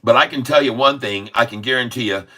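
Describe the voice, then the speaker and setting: A man's voice: medium pitch, quick pace, bright, forceful tone, rough recording. A man in his sixties hosting a casual live stock chat, jokey and upbeat, chuckling often between remarks. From Haiti. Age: 60s. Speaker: stock livestream host.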